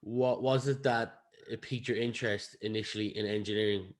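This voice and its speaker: A man's voice: on the low side, steady tone, fairly quick. An Irish man hosting a podcast, putting questions to a guest in a conversational interview style. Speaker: podcast host.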